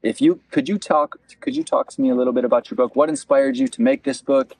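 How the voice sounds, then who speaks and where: A young man in his twenties with an average-pitched, creaky voice interviews as a local candidate. He swings his pitch around in an earnest, halting way, restarting phrases as he goes. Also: very animated, darkish tone, poor audio. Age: 20s. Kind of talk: campaign interview. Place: outdoors at a beach picnic table.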